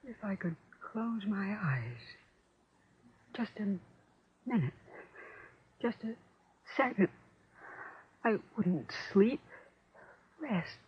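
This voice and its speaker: An actress playing a frightened, exhausted housewife in 1940s radio horror, with halting, repetitive, haunted delivery. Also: female voice, mid-range voice, a little hiss or hum. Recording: dull old radio drama recording.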